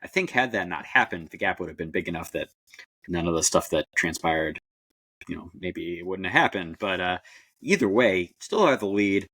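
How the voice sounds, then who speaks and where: A man co-hosting a podcast, giving casual, measured analysis of a sporting event. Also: low voice, expressive, bright, forceful tone. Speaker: podcast co-host.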